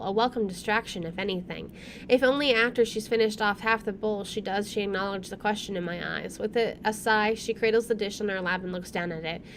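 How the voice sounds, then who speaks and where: A woman streamer reading a visual novel's narration aloud in an expressive, storytelling style. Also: medium pitch. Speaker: let's play streamer. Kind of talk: expressive read-aloud narration of game text. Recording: faint background noise.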